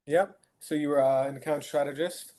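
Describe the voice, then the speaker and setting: A man's voice: fairly high pitch, fairly even intonation, moderate pace, mellow. A man hosting a podcast, asking questions in a friendly, hesitant way with many fillers. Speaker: podcast host. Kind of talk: casual interview questions.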